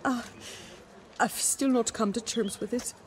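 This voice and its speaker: An actress playing a humble, mournful flower seller in a video game, plain-spoken and wistful, in short phrases. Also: female voice, fairly high pitch, fairly even intonation, unhurried, natural voice. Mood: grieving.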